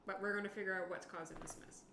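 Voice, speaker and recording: Female tabletop player, medium pitch, casual improvised chat, roomy sound with background noise. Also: steady tone, racing, bright, forceful tone. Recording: echoey room, clear background noise.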